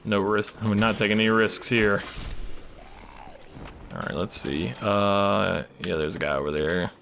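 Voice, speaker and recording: A man's voice: lowish voice, a little slow, gravelly, a bit bright, a little hiss or hum. A man casually commenting while he plays a video game, rambling and swearing, losing his voice and coughing. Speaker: gaming channel host. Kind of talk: casual let's play commentary.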